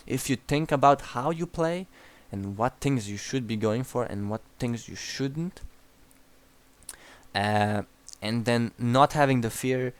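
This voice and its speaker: Young Croatian-accented man, lowish voice, blunt and profane game coach. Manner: blunt and profane, frequent restarts. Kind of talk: replay analysis commentary.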